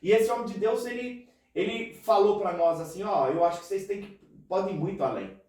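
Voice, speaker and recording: A man's voice: high voice, brisk, darkish tone, heavy reverb, far from the mic, low-quality recording. A man from Paraná with a São Paulo city accent, a pastor telling his story in a casual podcast interview, earnest and chatty, tagging phrases with "né". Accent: São Paulo city Portuguese. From Brazil, Paraná state. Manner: earnest, chatty, frequent "né" tags.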